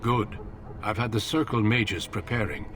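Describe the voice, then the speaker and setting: Grave low-voiced commander. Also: male voice, a bit bright, slight background noise. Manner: measured, formal, authoritative. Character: grave, commanding veteran warrior order leader. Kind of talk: scripted fantasy game dialogue.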